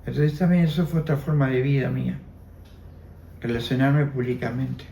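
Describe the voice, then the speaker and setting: A man's voice: mid-range voice, steady tone, moderate pace, vocal fry, mellow, reverberant, slight background noise. A man in his seventies with an Argentine Spanish accent, Armenian as his first language, giving an oral history testimony, reflective and repeating his phrases. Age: 70s. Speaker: interviewee.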